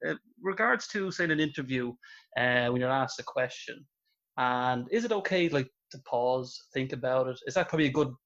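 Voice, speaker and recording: A man's voice: medium pitch, fairly bright tone. Male interviewer, mid-range voice, casual and a little hesitant, wandering questions, somewhat dull recording. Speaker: interview host.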